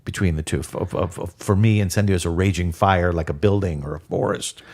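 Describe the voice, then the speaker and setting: A very deep-voiced man whose first language is Spanish talks casually as a guest musician. He rambles, restarts and corrects himself as he tells his stories. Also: fairly quick, vocal fry, darkish tone. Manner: rambling, self-correcting storyteller. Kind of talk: casual interview chat.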